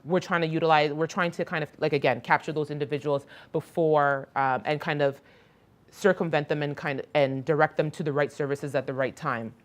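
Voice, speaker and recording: Woman, very low voice, hesitant, lots of ums, conference talk. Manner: hesitant, many ums and restarts. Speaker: presenter on a crisis outreach program. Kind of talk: conference presentation. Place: hospital conference room.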